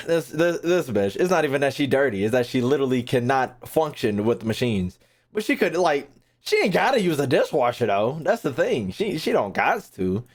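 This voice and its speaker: A man's voice: medium pitch, very animated, normal speed. A man doing casual streamer reaction commentary, with slangy, profane and incredulous asides. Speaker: streamer reacting to game lore.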